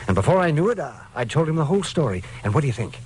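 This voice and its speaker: An actor playing a devoted, naive, anxious husband in a vintage radio drama, with halting, emotional delivery. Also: male voice, on the high side, big pitch swings, darkish tone. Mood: tender, reassuring. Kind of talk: 1940s-50s radio detective drama acting. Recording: noisy vintage radio recording.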